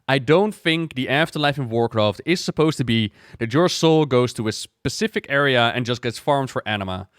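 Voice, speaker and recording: Smooth-voiced man, dry close-up commentary. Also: medium pitch, animated, moderate pace, hardly any pauses, no echo. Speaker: streamer, game lore commentator. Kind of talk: casual reaction commentary.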